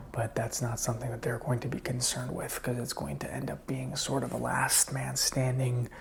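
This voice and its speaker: A man playing a lonely, rambling zombie apocalypse survivor in an ASMR roleplay, speaking softly and soothingly, often dropping to a whisper. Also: mid-range voice, a little hiss or hum. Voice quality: soft, breathy, often whispered. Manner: slow, hesitant, rambling, soothing.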